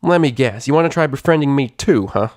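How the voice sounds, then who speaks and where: A man's voice: medium pitch, expressive, fairly quick, a little mellow, dry recording, clean studio sound, voice pushed up, lightly acted. A man voicing a brash, irritable young female pegasus pony from a cartoon story, with a cracking voice and slangy, blustering delivery. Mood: exasperated, defiant. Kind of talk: animated character voice in a story reading.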